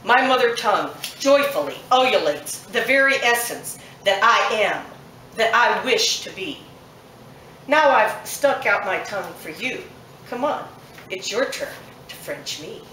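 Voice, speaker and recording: A woman's voice: medium pitch, lively intonation, a little slow, bright, forceful tone, a little hiss or hum. A woman poet performing her poem live at a bookshop event, with a rhythmic, emphatic delivery that builds through long lists. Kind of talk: live performance poetry reading. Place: bookshop at a poetry festival event. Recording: echoey room, mic set far off.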